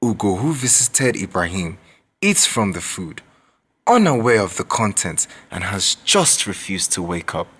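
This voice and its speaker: A man with a Nigerian accent narrating a radio drama in a grave, measured, dramatic manner. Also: deep voice, sing-song, fairly bright tone, breathy edge, full character voice. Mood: solemn. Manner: measured, dramatic, deliberate delivery.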